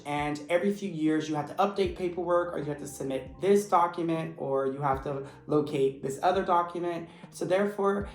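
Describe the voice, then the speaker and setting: A man who teaches English, giving a steady, earnest explanation in vlog style. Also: fairly high pitch. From United States. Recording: echoey room with faint music. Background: faint background music.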